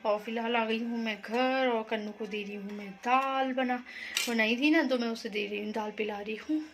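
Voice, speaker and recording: Hoarse chatty woman vlogging at home. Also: a little high, moderate pace, background noise. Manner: chatty, rambling, explains herself to viewers. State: hoarse, unwell. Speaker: vlogger housewife. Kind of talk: casual daily vlog chat.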